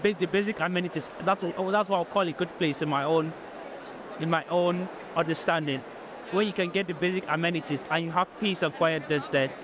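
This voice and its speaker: A man from Nigeria, giving measured, thoughtful answers in an interview. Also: fairly high pitch, background noise.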